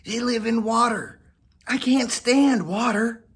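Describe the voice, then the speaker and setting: A man voicing Whiskers, a small boastful male cat, in a high whiny voice for a storybook reading. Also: slow, breathy edge, full character voice.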